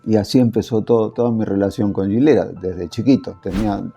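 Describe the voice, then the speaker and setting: A man's voice: fairly low pitch, a little fast, gravelly, dark tone, lo-fi sound, faint music behind. An older Italian-born man recalling his working years in a casual interview, hesitant and full of fillers. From Italy. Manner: hesitant, many fillers and restarts. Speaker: interviewee, former factory worker.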